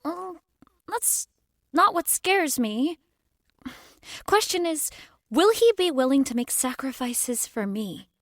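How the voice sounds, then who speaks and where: An actress playing a flustered, defiant young society daughter in a 1920s period audio drama. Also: female voice, sits high, big pitch swings, slow, long pauses, dry recording, clean studio sound, full character voice. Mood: troubled, hesitant. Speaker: actor.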